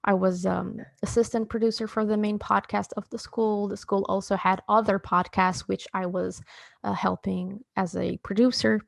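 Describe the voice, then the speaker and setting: A woman in her thirties from Portugal, telling her own story in a relaxed, chatty way full of fillers and restarts. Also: medium pitch, normal speed, gravelly, no room sound, clean studio sound. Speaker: podcast host, interviewed as guest. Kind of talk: casual conversational storytelling.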